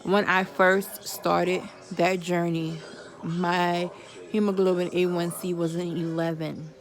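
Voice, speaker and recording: A woman's voice: fairly low pitch, level tone, takes it slowly, faint music behind, rough recording. A woman of forty giving viewers a candid personal update, chatting casually with religious asides. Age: 40s. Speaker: vlogger.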